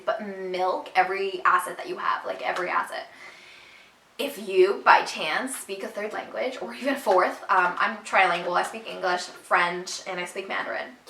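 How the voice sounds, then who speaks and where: A young woman telling her own story to her viewers in a casual, animated and emphatic way. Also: medium pitch, moderate pace, reverberant, recorded from a distance, slight background noise, poor audio. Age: young adult. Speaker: vlogger.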